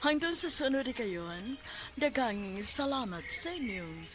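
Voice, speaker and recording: A woman's voice: fairly high pitch, sing-song, takes it slowly. A woman with a Cebuano Filipino accent reading scripted narration as a radio drama announcer. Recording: noisy radio broadcast recording.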